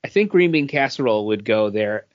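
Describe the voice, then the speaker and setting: A man's voice: lowish voice, lively intonation, fairly quick. A man co-hosting a podcast, casually telling anecdotes. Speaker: podcast co-host. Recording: dull, muffled audio.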